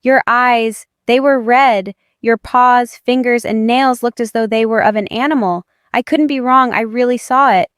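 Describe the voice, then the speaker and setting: An angry, defiant young heroine of a romance audio drama, acted out by a woman. Her voice is smooth and clear, pushed into panicked, grieving insistence. The sound is dry and free of room. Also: on the high side, unhurried, hardly any pauses, fairly bright tone, no room sound, voice pushed up. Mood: shaken, insistent.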